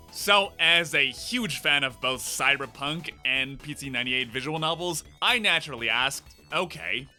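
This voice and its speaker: A man narrating his own video essay with dry sarcasm and casual asides. His voice is very bright and slightly high. Faint music plays softly underneath. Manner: sarcastic, wry and conversational.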